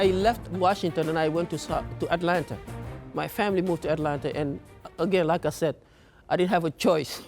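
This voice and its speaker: A young man from Africa telling his own story in an earnest, halting way, often repeating words as he searches for them. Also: fairly high pitch, steady tone, over music. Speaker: speaker. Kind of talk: personal story talk.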